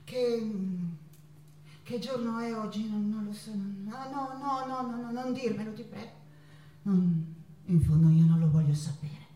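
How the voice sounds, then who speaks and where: An actress playing an ailing, passionate woman painter in a theatrical monologue, halting and repeating her words with dramatic pauses. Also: mid-range voice, mellow, slight background noise, lightly acted. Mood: anguished, pleading, then distraught, restless. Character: ailing, passionate woman painter, tormented yet playful, in love. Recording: roomy, distant stage pickup with guitar. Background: plucked guitar music.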